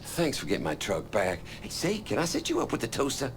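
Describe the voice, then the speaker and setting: Rambling folksy truck owner. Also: male voice, wildly swooping pitch, a little fast, slight background noise. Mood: chatty. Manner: rambling, jumpy pitch, folksy. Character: excitable older male truck owner, chatty and rambling.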